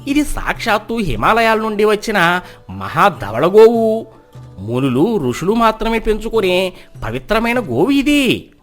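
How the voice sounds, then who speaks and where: A man playing a boastful, animated village trickster. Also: high voice, measured pace, faint music behind, pitched above the performer's own voice, heavily acted. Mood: persuasive, pious. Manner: boastful, persuasive. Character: cunning, lazy young village trickster, smooth-talking.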